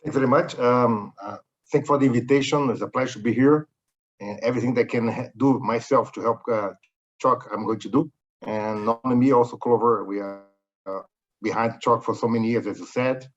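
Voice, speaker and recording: Male guest, middle-aged, Brazilian Portuguese accent, mid pitch, lo-fi call audio. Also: steady tone, a little fast. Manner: friendly, slightly broken English. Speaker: company executive, guest. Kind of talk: interview answers. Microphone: video call.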